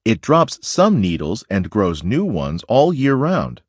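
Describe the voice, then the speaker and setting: A man narrating a children's nonfiction book aloud in a deliberate, instructive way. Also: fairly low pitch, wildly swooping pitch, breathy edge, no room sound, clean studio sound.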